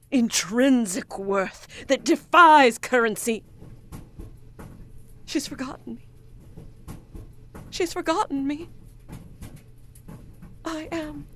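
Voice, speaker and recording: A woman's voice: high voice, fairly bright tone, exaggerated voice. A woman voicing a forlorn, forgotten coin in a theatrical, florid audio drama monologue. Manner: theatrical, ornate, grandiloquent. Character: forgotten lost coin, lovelorn, florid and melodramatic.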